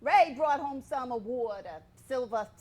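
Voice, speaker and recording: Woman playing a high-voiced, joking mother. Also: animated, unhurried, darkish tone. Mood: matter-of-fact. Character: breezy, joking older mother of a grown daughter. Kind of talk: staged reading of a stage comedy.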